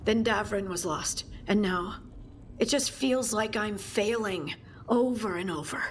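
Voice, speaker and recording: A woman's voice: mid-range voice, a little slow, slight background noise, lightly acted. A loyal woman fighter carrying a heavy burden, voiced by an actress. Her delivery is heartfelt and restrained, in a mid-range voice. It is game drama with faint ambience behind it. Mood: grieving. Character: earnest, burdened young woman warrior, loyal and resolute. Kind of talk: dramatic video game acting.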